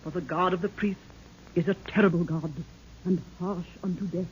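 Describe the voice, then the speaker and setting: Stately, solemn queen acted by a woman, low-fidelity radio. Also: steady tone, normal speed, lightly acted. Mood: solemn, ominous. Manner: archaic, stately, declamatory speech. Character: regal, solemn African queen, fearful of cruel priests. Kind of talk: old-time radio serial acting. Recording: muffled, noisy old radio recording.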